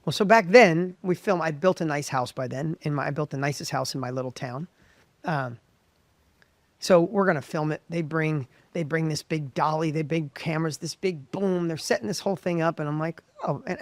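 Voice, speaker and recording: A middle-aged man from the United States telling his story as an interview guest, with a high voice, animated and full of quick restarts. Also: dry recording. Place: professional studio.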